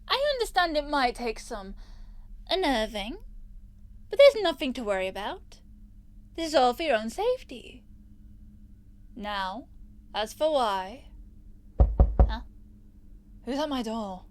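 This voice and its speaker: Woman playing a smug, high-voiced yandere captor. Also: big pitch swings, measured pace, pauses often, no echo. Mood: alarmed, stern. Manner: teasing, condescending, sweetly menacing. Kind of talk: ASMR roleplay audio drama.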